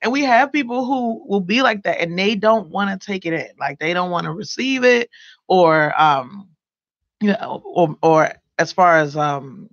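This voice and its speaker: A young woman with a mellow voice and a gravelly, fry-laden edge gives casual advice as a studio guest. She talks loosely, circling with fillers and restarts. The recording is dry and close on a desk mic, a little dull and lo-fi. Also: on the low side, unhurried, dry recording. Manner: loose, rambling delivery with many fillers. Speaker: guest, radio and podcast producer. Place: small radio studio. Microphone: desk microphone.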